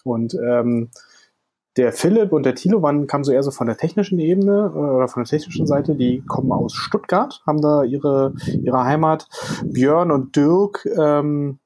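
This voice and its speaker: A man's voice: a little high, moderate pace, darkish tone, breathy edge. A man co-hosts a podcast in a loose, chatty way. He thinks aloud, reaching back for dates and names as he tells the story. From Berlin, Germany. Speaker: podcast co-host. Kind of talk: casual podcast conversation.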